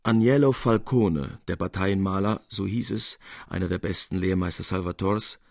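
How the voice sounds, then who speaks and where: A man's voice: deep voice, normal speed, vocal fry, a little mellow, no room sound, studio quality. A man giving a formal, articulate reading of a classic literary novella as narrator. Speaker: reader, narrator.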